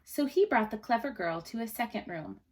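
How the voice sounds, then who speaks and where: A woman teacher reading a storybook aloud to children, pausing to explain things simply and ask questions. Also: on the high side, animated.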